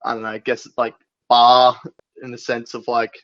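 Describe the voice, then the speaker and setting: A man's voice: medium pitch, monotone, moderate pace, no room sound. Australian man, slangy, slightly muffled sound. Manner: slangy, sweary. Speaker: band member and podcast guest. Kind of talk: casual banter.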